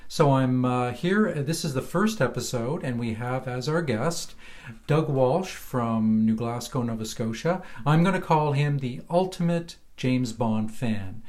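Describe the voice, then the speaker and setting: A man hosting a podcast, putting interview questions to a guest in a halting, hesitant way. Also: mid-range voice, animated, darkish tone, faint music behind.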